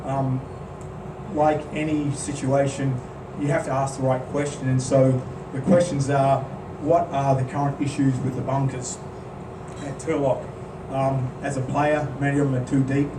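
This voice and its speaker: Guy giving a talk at a club meeting, flat even voice, far off in a roomy hall w/ hum. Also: mid-range voice, a little slow. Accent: non-local accent. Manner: plain, steady, filler-heavy delivery. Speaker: maintenance contractor presenting. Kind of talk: informal presentation at a club meeting. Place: meeting room at a golf club. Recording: roomy, distant mic, background hum.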